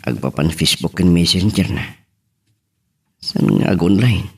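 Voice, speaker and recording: A man acts a troubled Ilocano family man in an audio drama. His voice sits low and husky, and he speaks in an anxious, brooding way, as a husband missing his wife. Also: lively intonation, a little slow, heavily acted. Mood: lonely, brooding. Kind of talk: Ilocano radio-style drama acting.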